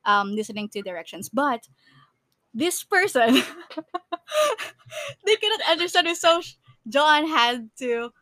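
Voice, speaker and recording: Chatty Filipino woman, slightly high voice. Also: big pitch swings, slow, pauses often, pushed and bright, dry recording. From Philippines. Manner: chatty, laughs often, many restarts. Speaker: podcast co-host. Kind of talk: casual chat.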